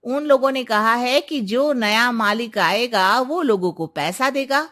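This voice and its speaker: A woman's voice: a little high, unhurried, fairly bright tone. A woman playing a chatty neighbourhood woman in a cartoon moral story, exclamatory and familiar in manner. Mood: gossiping.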